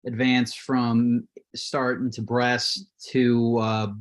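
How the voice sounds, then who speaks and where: A man in his late twenties, speaking hesitantly with many pauses, eager about the history he has read up on. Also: mid-range voice, flat delivery. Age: 20s. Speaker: call-in guest. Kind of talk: casual chat over a video call. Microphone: video call.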